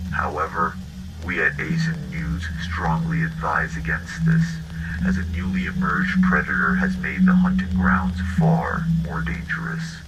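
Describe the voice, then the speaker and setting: A man voicing a grave, formal news anchor in a scripted horror broadcast, with measured, solemn delivery. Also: extremely low pitch, low-quality recording. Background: music.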